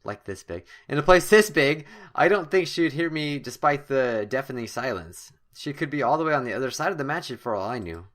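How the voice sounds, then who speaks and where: Bright-voiced man doing a jokey let's play. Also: mid-range voice, lively intonation, a little fast, hardly any pauses. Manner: jokey asides, theatrical reading, stumbles over words. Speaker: host of a gaming channel. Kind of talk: let's play, reading game text aloud with joking commentary.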